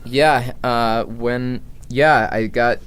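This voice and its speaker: A man's voice: steady tone, extremely slow, fairly bright tone, clear, resonant tone. An American man in his early thirties chatting informally as an interview guest, thinking aloud as he goes. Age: early 30s. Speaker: interview guest, traveling musician and activist. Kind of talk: casual conversational interview. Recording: low background noise, room ambience.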